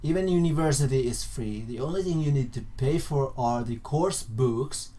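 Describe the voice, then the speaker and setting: A young Finnish man with a soft, mellow tone and a Finnish accent. He is a native Finnish speaker talking informally as a vlogger, haltingly rephrasing as he explains. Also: medium pitch. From Finland. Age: young adult. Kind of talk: casual informal explainer to camera.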